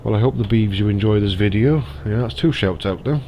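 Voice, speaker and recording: Guy, bit bunged up with a cold, chatty, low voice, thinking out loud while gaming. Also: fairly quick, a little hiss or hum. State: slightly stuffy nose from a cold. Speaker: gaming YouTuber. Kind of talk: casual gameplay commentary.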